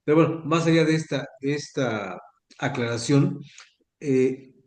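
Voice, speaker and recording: A man's voice: mid-range voice, measured pace. Chatty Mexican man in an echoey room. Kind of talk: conversational interview on a video call.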